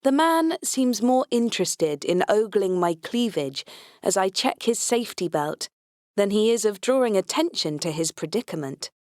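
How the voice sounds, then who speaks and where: A woman's voice: mid-range voice, sing-song, moderate pace, darkish tone, dry recording, clean studio sound. A woman reading a science fiction comedy audiobook aloud in the first person, with a wry, tongue-in-cheek delivery. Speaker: audiobook narrator.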